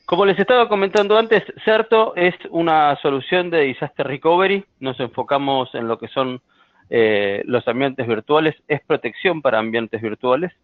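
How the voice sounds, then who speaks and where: A man with an Argentine accent presenting a product in a webinar, speaking in a measured way with frequent hesitations. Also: fairly high pitch, no echo. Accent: Argentine Spanish. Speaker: sales engineer presenting a product. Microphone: computer mic over an online call. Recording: tinny phone-like line, dry.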